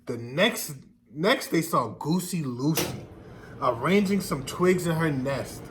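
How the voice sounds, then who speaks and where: High-voiced man reading a children's story. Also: takes it slowly, noisy background. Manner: expressive, explanatory. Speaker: classroom storybook reader. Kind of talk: children's read-aloud narration with questions to listeners.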